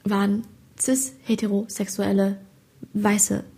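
A young woman from Hesse with a Hessian accent, a stand-up comedian chatting in an interview, animated and slangy, often asking "you know?". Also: medium pitch, slow pace, creaky, husky. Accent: Hessian German. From Hesse, Germany. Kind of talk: casual interview chat.

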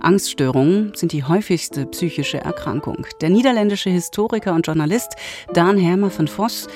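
A woman's voice: fairly low pitch, normal speed. A female presenter delivers scripted literature introductions for radio. She is in a studio, and music plays behind her voice.

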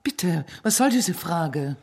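Guarded, husky, low-voiced bourgeois wife. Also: female voice, expressive, slow, darkish tone, full character voice. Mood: defensive, indignant. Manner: guarded, haughty, clipped. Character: proud, evasive professor's wife under police questioning. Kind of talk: radio drama acting.

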